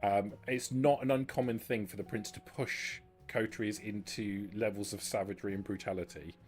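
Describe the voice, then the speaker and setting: A man runs a tabletop roleplaying game as game master. His voice is on the low side, and he improvises narration conversationally, with faint music behind him. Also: fairly even intonation, moderate pace.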